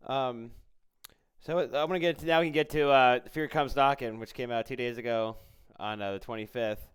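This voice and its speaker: A man's voice: mid-range voice, steady tone, brisk, clean tone. A man hosting a casual interview, speaking informally and supportively with his guest. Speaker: podcast host, interviewer.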